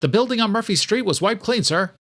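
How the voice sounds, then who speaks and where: Man as a high-pitched, dutiful police officer. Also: expressive, a little fast, a bit bright. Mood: urgent. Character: brisk, dutiful male police officer reporting in.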